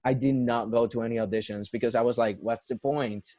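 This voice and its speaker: A young man speaks with a Puerto Rican accent, earnest and conversational, circling back with restarts and fillers. His voice sits in an ordinary middle pitch. The sound is dry, with hardly any room behind it. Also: fairly even intonation, brisk, mellow, no echo. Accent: Puerto Rican Spanish. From Puerto Rico. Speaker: guest, musical theater performer. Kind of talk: casual conversational interview.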